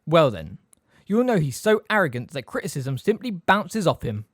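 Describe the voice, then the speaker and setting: A narrator voicing a middle-aged male teacher in a storybook, smooth, sarcastic and waspish, with delicate stress on words. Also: mid-range voice, big pitch swings, moderate pace, darkish tone, dry recording, studio quality, pitched above the performer's own voice. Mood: silky, sneering.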